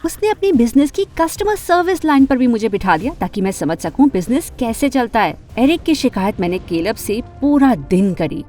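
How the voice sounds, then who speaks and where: A woman playing an ambitious, fashion-loving teenage girl who narrates her own animated story, dramatic and expressive, with English words mixed in. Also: on the high side, moderate pace, hardly any pauses, faint music behind. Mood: storytelling.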